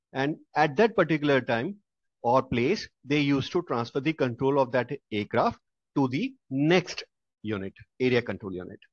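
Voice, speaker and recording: A man's voice: fairly high pitch, no room sound. A man narrating a slide-based aviation tutorial as instructor, explaining step by step with some hesitations. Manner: explanatory, with hesitations and repeated restatements. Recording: dull lo-fi dry voice-over.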